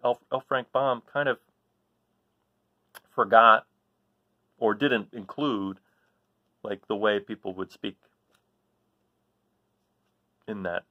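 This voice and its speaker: An American man in his forties from New Jersey, chatting casually and haltingly as he explains things to children. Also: on the low side, fairly even intonation, narrow, tinny audio. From New Jersey, United States. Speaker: read-aloud host. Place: small living room, seated in an armchair.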